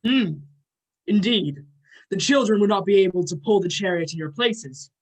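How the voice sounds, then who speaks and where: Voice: mid-range voice, expressive, moderate pace, a little mellow, lightly acted, poor audio. An amateur actor playing a grand, short-tempered male thunder god with a formal, pompous manner in a myth play reading. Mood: lecturing.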